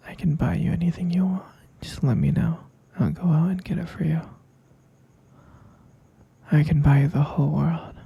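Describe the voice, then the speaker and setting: An obsessive yandere boyfriend, acted by a man for roleplay ASMR, speaking slowly in a very dark, mellow half-whisper. His coaxing, possessive words come out breathy and heavy with creak. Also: fairly high pitch, lo-fi sound, exaggerated voice. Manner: slow, cooing, coaxing pet names.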